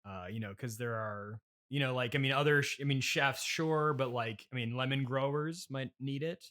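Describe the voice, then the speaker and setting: Bright-voiced male podcast interviewer. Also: medium pitch. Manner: halting, politely puzzled, probing questions. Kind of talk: deadpan improvised comedy interview.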